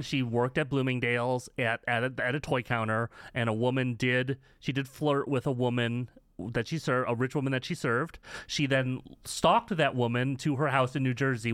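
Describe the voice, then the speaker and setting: A man co-hosting a podcast, casually discussing in an emphatic, halting way with repeated words. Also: mid-range voice, a bit bright, no echo, clean studio sound. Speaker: podcast co-host.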